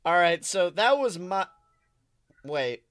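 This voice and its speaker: A podcast co-host in casual comedic chat, jokey and quick to laugh. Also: mid-range voice, very animated, no room sound, studio quality.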